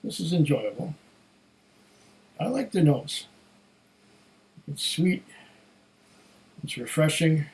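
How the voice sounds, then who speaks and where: Slightly hoarse, higher-pitched man musing unhurriedly. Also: expressive, darkish tone, poor audio. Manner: musing, unhurried, savoring, talks to himself. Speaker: whisky reviewer. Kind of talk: casual solo tasting review.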